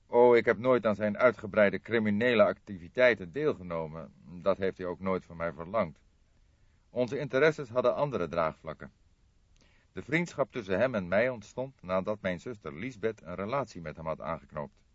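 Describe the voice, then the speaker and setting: A man's voice: on the low side, expressive, normal speed, dry recording. Guy doing a polite posh-mannered gent in his forties, audiobook, very formal n apologetic, dry lo-fi. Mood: formal, forthcoming. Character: courteous, apologetic well-bred gentleman in his forties. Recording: dull, dry lo-fi audiobook recording.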